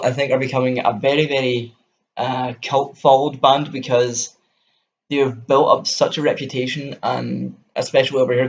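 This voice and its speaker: Scottish young guy gushing about albums, gravelly voice, kinda dull lo-fi sound. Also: mid-range voice. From Scotland. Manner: enthusiastic, rambling with restarts. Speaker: music vlogger. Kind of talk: casual album review to camera.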